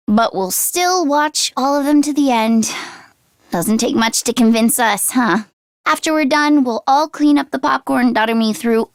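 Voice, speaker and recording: A woman voicing an earnest, excitable teenage girl fighter in a video game, caring and anxious, with expressive, emotive delivery. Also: high-pitched, no echo, studio quality. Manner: expressive and emotive, with sighs and gasps. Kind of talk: English video game voice acting.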